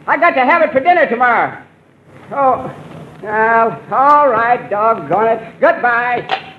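A man's voice: extremely high pitch, lively intonation, slow, heavily acted. A man playing a cranky, folksy pump superintendent in 1940s radio comedy, grumbling and stubborn. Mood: grudging. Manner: grumbling, stubborn, rustic turns of phrase. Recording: muffled, noisy 1940s radio recording.

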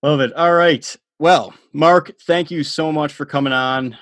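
A man's voice: fairly high pitch, fairly even intonation, measured pace, a bit bright, no room sound. Chatty laughing man hosting a podcast. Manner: chatty, laughing, filler-heavy. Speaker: podcast host. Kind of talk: casual podcast interview.

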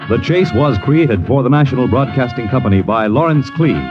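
A man's voice: mid-range voice, animated, lightly acted. A man narrating and announcing in a dramatic, portentous 1950s radio style. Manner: portentous, measured. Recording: dull vintage radio recording, music.

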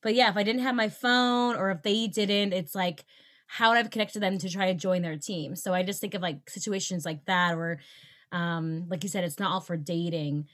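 A woman's voice: lowish voice, normal speed, a bit bright. A woman hosting an interview, asking friendly, meandering questions with frequent ums and restarts. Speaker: podcast host. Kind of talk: interviewing a guest.

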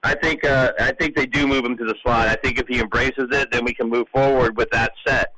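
A man's voice: mid-range voice, steady tone, a little fast, rough recording. Guy calling into sports radio w football takes, midrange voice, crowd noise behind him. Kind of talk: casual sports commentary.

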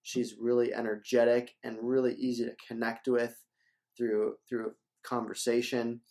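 A man's voice: medium pitch, little pitch movement, moderate pace, dark tone. A young man from Minnesota, a church staff member hosting a casual interview, upbeat and promotional and often repeating words.

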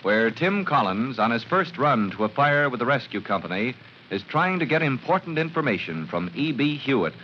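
A man's voice: medium pitch, sing-song, normal speed, slight background noise. A man narrating a 1940s radio drama as announcer, with a grave, theatrical and emphatic delivery. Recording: muffled old radio recording.

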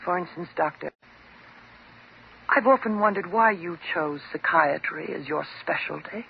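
An actress playing a bitter, vengeful jilted patient with a mocking, sarcastic and dramatic manner in a 1950s radio drama. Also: female voice, on the low side, unhurried, gravelly, a little hiss or hum. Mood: sarcastic, accusing. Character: bitter, vengeful jilted woman patient holding doctor at gunpoint. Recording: dull old 1950s radio recording.

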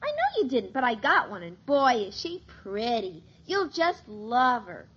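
A woman playing an excitable, headstrong young tomboy girl in a 1950s radio sitcom, exuberant and a little bratty. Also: on the high side, a little slow, slightly rough, heavily acted. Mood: eager, scheming. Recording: muffled old 1950s radio recording.

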